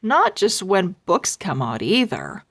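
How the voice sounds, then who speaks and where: Woman as an easygoing, animated storybook dad. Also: medium pitch, slow, husky, full character voice. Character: chatty, easygoing father on a family outing.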